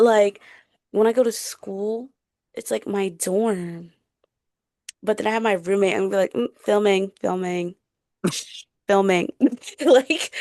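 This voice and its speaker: A young woman chats casually as a podcast co-host, peppering her talk with slang. Her mid-pitched voice has noticeable vocal fry and sits in a dry, close recording. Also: a little mellow, dry recording. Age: young adult. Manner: colloquial, slangy, frequent "like". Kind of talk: casual chat. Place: bedroom.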